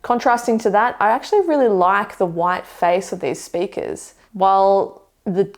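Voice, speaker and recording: A young woman seated in a living room, giving a measured, detailed review of speakers to camera. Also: mid-range voice. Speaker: audio equipment reviewer. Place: living room with hi-fi shelves, indoors.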